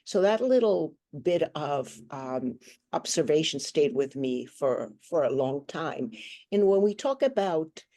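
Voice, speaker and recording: A woman in her sixties from Guatemala, giving a thoughtful, earnest interview answer over a video call and pausing often to search for words. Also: low voice, expressive, dark tone. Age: 60s. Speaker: guest, hand therapist.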